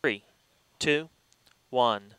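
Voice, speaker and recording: A man's voice: mid-range voice, extremely slow, a bit bright. A man directing a live television newscast, calling clipped cues and countdowns with the odd joking aside.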